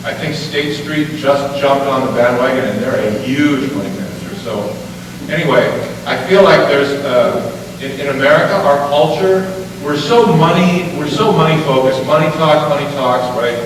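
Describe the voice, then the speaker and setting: A man's voice: mid-range voice, moderate pace, background noise. Man speaking far off in an echoey hall. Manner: informal, thinking aloud with fillers, asks the room questions. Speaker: panel speaker. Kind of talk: casual talk to an audience. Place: event hall.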